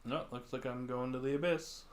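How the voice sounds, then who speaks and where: A man's voice: medium pitch, fairly even intonation, normal speed, a little hiss or hum. A man livestreaming a video game, reacting casually with brief, offhand, laid-back remarks.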